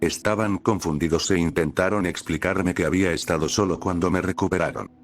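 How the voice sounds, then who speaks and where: A man reading a scripted horror story as the channel's narrator, with a steady, measured delivery. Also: low-pitched, a little hiss or hum.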